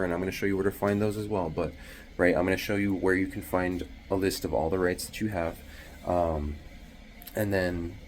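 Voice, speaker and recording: Deep-voiced man talking low in a bathroom. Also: a little hiss or hum. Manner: rambling, many fillers and restarts; hushed, half-whispering to avoid waking others. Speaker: delivery driver vlogger. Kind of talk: casual explainer vlog. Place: small bathroom at home at night.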